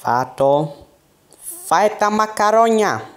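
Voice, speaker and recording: A performer voicing an excitable, boastful male skeleton guard in a theatrical, bombastic cartoon fan dub style. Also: medium pitch, close to an everyday voice. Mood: eager, triumphant. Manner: theatrical, bombastic, emphatic delivery.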